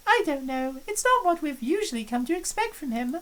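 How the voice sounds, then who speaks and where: Woman streamer reading visual novel lines, high, animated voice. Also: brisk. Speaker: game streamer.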